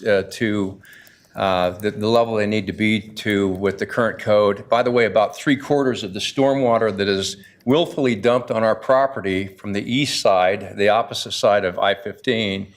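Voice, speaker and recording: A man in his sixties from Idaho, a property developer giving earnest, insistent public testimony at a city council podium, often restarting his phrases. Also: fairly low pitch.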